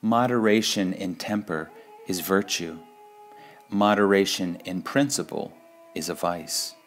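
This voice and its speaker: A man reading spiritual sayings in a measured, deliberate devotional style, pausing between phrases. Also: low-pitched, soft background music. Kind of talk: slow devotional quote reading.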